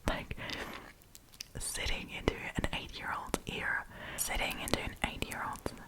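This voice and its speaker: Whispering German woman with an Australian accent. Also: unhurried. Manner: whispering, chatty, many "like" fillers, searching for words. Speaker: ASMR storyteller. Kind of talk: whispered ASMR storytime commentary.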